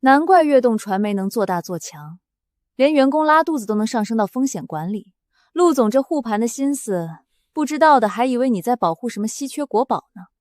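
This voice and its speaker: A woman playing a sarcastic, protective young friend in an animated short drama, with a mocking, theatrical delivery. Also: a little high, a little fast, no echo, clean studio sound, natural voice.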